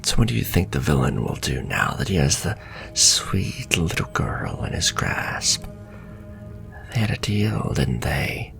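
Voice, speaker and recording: A man voicing a sly, sadistic warlock villain in a flirtatious ASMR fantasy roleplay, with a slow, teasing, half-whispered delivery. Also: low-pitched, expressive, vocal fry, fairly bright tone, faint music behind, exaggerated voice. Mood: menacing seduction.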